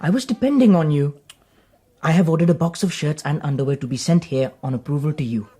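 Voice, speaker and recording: A woman's voice: sits low, quick pace, mellow, slightly rough. A performer playing an eager, naive, courteous young nobleman in a radio drama, asking things politely and earnestly. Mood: earnest pleading.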